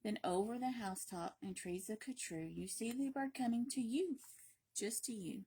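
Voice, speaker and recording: A woman reads a picture book to children in a fairly high voice. Her delivery is playful and emphatic, like a library story time, and she drops in short asides between the lines of the tale. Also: moderate pace, mellow, breathy edge. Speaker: library read-aloud reader. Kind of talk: expressive children's picture-book read-aloud with brief asides.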